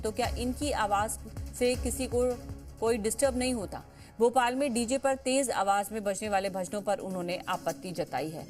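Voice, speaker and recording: A woman anchoring television news, restating her points with emphasis as she reports. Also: fairly high pitch.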